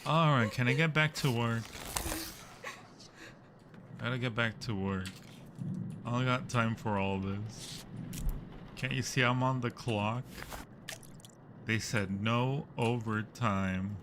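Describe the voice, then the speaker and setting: A man's voice: mid-range voice, takes it slowly, long pauses, background noise. A man casually commentating a horror game as a live streamer, joking and muttering to himself in a playful way.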